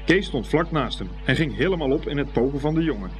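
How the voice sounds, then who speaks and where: A man's voice: fairly high pitch, lively intonation, fast talker, music in the background. A man reading scripted storybook narration as the narrator of a radio play.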